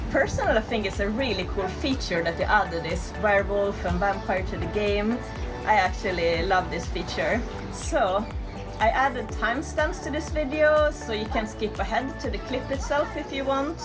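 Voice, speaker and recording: A woman's voice: medium pitch, low-quality recording. A young woman with a Swedish accent, chatting enthusiastically in a casual game guide recorded on an outdoor balcony. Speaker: gaming YouTuber. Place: outdoor balcony, tropical resort.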